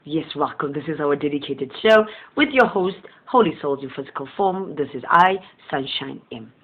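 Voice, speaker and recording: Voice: medium pitch, animated, normal speed, slightly rough. Host, tinny phone-like sound. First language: Southern Sesotho. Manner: rambling, chuckling often, gentle and earnest. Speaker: host of a motivational channel. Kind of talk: casual spiritual monologue.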